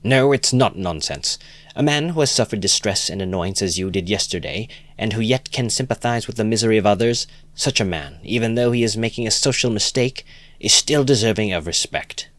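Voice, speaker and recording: A man doing an excitable young idealist. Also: lowish voice, moderate pace, no room sound, close to an everyday voice. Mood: earnest. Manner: eager, emphatic lecturing. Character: earnest, excitable young male idealist, preachy. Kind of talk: audiobook reading.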